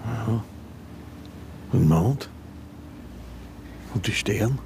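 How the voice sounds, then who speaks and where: A man's voice: fairly low pitch, lively intonation, very slow, a little mellow, slight background noise, full character voice. An actor playing a reluctant male hostage in a radio drama, terse and subdued.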